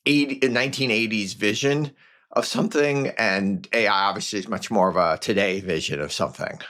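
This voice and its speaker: A man's voice: fairly low pitch, fairly bright tone. A man hosting a casual podcast interview, often breaking off and rephrasing his questions as he goes. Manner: halting, rephrases questions mid-sentence.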